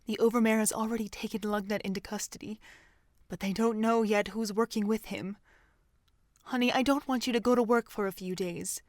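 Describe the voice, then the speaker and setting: A woman's voice: medium pitch, fairly even intonation, brisk, no echo, clean studio sound, natural voice. A woman acting in an audio drama as a protective, anxious pony mother. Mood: relieved, anxious.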